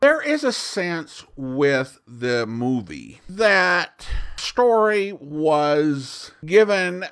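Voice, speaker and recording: A man speaks as a reviewer hosting a show, in a measured, conversational way. His pitch sits a little high and moves around a great deal. He delivers his opinions at a slow pace. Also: sing-song, a bit bright, smooth. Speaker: host reviewing old radio drama. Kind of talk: semi-scripted review commentary.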